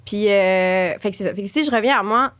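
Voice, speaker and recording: A woman's voice: mid-range voice, fairly quick, a bit bright. A young woman with a Quebec French accent co-hosting a casual podcast, slangy and slipping English phrases into her French. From Quebec, Canada, of Chilean parents. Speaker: podcast co-host. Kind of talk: casual conversational podcast chat.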